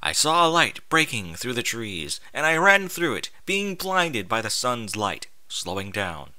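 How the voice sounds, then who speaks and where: A man narrates a first-person story with a very bright, forceful voice. His pitch moves around in a lively way and his delivery is expressive and informal. The sound is dry and close. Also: unhurried, no echo. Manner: expressive, informal, sweary interjections. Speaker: fan fiction reader.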